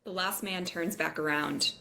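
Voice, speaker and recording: Lower-pitched woman reading stage directions. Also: a little slow, vocal fry, sharp tone, a little hiss or hum. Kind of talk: scripted narration at a table read.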